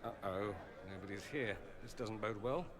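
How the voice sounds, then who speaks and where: An actor playing a proud, defiant mage son in a video game, theatrical and bitingly sarcastic. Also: male voice, fairly low pitch, normal speed, heavy background noise, close to an everyday voice. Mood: wary, bitter. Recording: chatter of a crowd behind.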